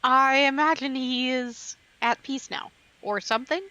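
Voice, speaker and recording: A woman's voice: sits high, steady tone, a little slow, sharp tone, no echo, lo-fi sound. A woman streaming a video game, chatting casually and answering her chat. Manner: chatty, reads chat aloud. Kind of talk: casual live-stream commentary over a game.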